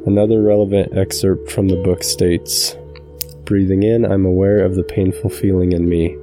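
A man guiding a meditation from a script in a calm, measured, soothing way. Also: deep voice, over music. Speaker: meditation guide, channel host. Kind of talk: scripted guided meditation narration.

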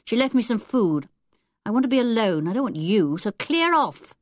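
A woman's voice: mid-range voice, big pitch swings, moderate pace, dry recording, clean studio sound, lightly acted. A woman voicing a defiant, scowling ten-year-old boy who talks rudely and scornfully. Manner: rude, scornful, bossy.

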